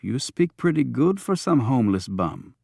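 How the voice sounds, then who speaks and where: A man voicing a gruff, suspicious city cab driver in an audiobook, blunt and slangy. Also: mid-range voice, very animated, normal speed, a little mellow, no echo, clean studio sound, heavily acted. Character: gruff, suspicious, greedy male city cabbie.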